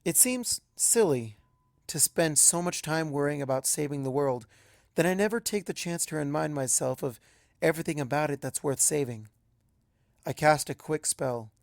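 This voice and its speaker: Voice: medium pitch, normal speed, creaky, no room sound, studio quality. A narrator reading a first-person story aloud in a narrative storytelling style. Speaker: fan fiction narrator.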